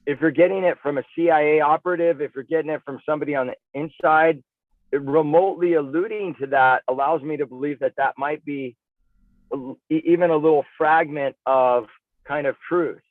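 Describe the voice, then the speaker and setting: A man's voice: fairly high pitch, a little fast, no echo. A male interview guest talking casually and with firm opinions, emphatic and conversational, over a thin phone-like connection. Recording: dry, tinny phone-like radio sound.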